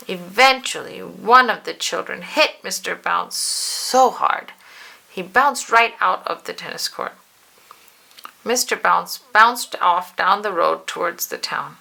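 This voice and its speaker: Female storybook reader: bright, slightly gravelly edge, animated delivery with drawn-out emphasis, speaks straight to the child. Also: mid-range voice. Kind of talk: expressive children's read-aloud narration.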